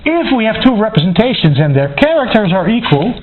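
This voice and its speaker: A man giving a mathematics lecture, working step by step in a halting, self-correcting way. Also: high voice, big pitch swings. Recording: thin phone-like band, clearly noisy, clicks.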